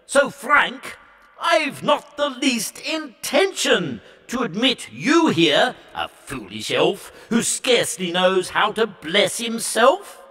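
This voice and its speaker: A man voicing a pompous elderly pope who declaims loudly and slowly, dismissing a visitor in a recited ballad. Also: very high-pitched, voice pushed up, exaggerated voice. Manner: loud, slow, haughty declaiming. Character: pompous old male pope, stern and dismissive. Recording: long reverb hall.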